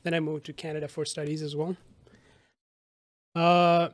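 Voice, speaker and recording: A man's voice: on the high side, level tone, moderate pace, dry recording. A thirty-year-old man from Sri Lanka, chatting casually as a podcast guest.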